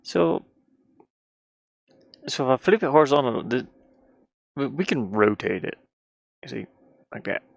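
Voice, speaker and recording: A tired-sounding man with a gravelly voice, giving casual, rambling commentary on his game development, muttering to himself with playful sing-song asides. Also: medium pitch, animated, unhurried, long pauses, dry recording, clean studio sound. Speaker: indie game developer narrating own work.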